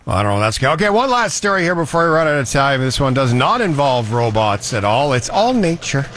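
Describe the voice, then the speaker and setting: A man hosting a talk show, telling stories in a chatty, animated way. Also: mid-range voice, fast, slight background noise. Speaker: show host. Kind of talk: casual talk-show banter.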